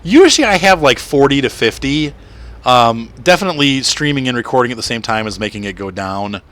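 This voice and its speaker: A man in his thirties hosting a gaming channel. He rambles through casual live-stream gameplay commentary with lots of fillers, and his voice sounds slightly rough and crackly. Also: mid-range voice, expressive, fairly quick. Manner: rambling, chatty, with frequent fillers and soft laughs.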